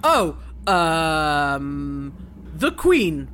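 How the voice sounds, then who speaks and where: A woman improvising as a player in a tabletop role-playing game, halting and stammering as she goes. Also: deep voice, big pitch swings, fairly bright tone, a little hiss or hum.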